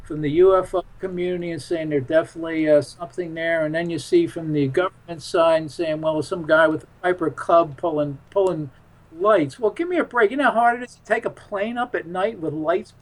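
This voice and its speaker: High-pitched man, rambling interview guest. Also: brisk, poor audio. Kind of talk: casual radio interview talk.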